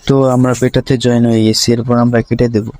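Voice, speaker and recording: A man's voice: mid-range voice, monotone, fast talker, a little mellow, no room sound. A man presenting a screen tutorial step by step, linking each instruction to the last in a repetitive way.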